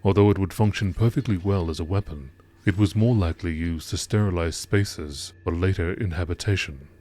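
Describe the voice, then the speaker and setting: Low-voiced man reading scientific logs. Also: warm tone. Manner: measured, formal, scientific report delivery. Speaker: narrator reading game lore entries. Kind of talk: scripted documentary-style narration.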